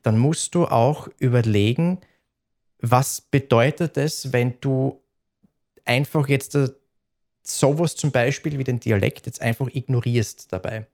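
A man's voice: medium pitch, measured pace, darkish tone. A man speaking soberly and at length, with a dialect and frequent restarts. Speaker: streamer. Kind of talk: conversation.

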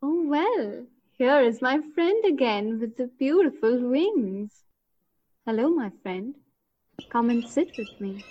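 An actress playing a woman hunter who stalks her target and marvels at winged creatures, thinking aloud in deliberate short phrases in an audio drama. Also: high voice, big pitch swings, exaggerated voice. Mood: hushed, watchful. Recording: dull lo-fi recording.

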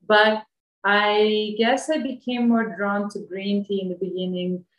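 Woman from Bosnia, thirties, English, very roomy echo, medium pitch, reflective interview talk. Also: level tone, a little slow. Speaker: interview guest. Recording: echoey room sound.

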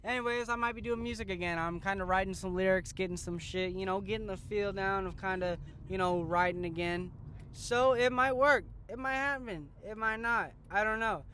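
A man's voice: high voice, normal speed, pushed and bright. A young man hosting a casual podcast from a moving car, rambling and joking with plenty of swearing. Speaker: podcast host. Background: faint road noise.

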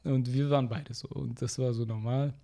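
A young man in casual conversation, telling stories in an animated way with slangy fillers, getting louder when he is indignant. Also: mid-range voice, moderate pace, vocal fry. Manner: animated storytelling, rising loud when indignant. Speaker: guest.

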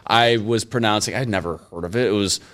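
A man's voice: fairly low pitch, brisk, a bit bright. Man with an Upper Midwest accent, easygoing interviewer. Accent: Upper Midwest American. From Northern Illinois. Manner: conversational, self-deprecating anecdotes. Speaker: news presenter interviewing a guest. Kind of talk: casual interview chat.

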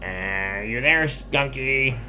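A man's voice: lowish voice, lively intonation, measured pace, sharp tone, slight background noise, voice pushed up, poor audio. A man voicing a good-natured, agreeable little farm pig in a bedtime story for children, hearty and easygoing. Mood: playful.

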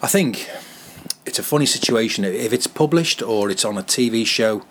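A man with a softened Merseyside accent, a stand-up comedian telling anecdotes in a relaxed interview, often restarting and saying "you know". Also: mid-range voice, moderate pace, a little hiss or hum. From the Wirral, England. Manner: chatty storyteller with frequent restarts and "you know". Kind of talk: anecdotal podcast chat.

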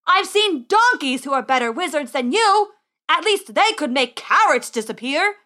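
Woman as an angry burly tavern man, yelling. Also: high voice, sing-song, moderate pace, voice pushed up, exaggerated voice. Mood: furious. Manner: yelling, contemptuous. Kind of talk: audiobook character acting.

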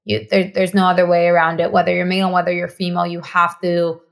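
A woman's voice: lowish voice, monotone, fast talker, gravelly. A woman from Mexico whose first language is Spanish, talking earnestly as a podcast guest and often restarting and repeating phrases for emphasis. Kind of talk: casual podcast conversation.

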